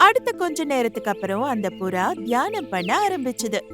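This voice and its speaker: A woman's voice: fairly high pitch, very animated, brisk, over music. A woman narrating a scripted children's fable as a storyteller.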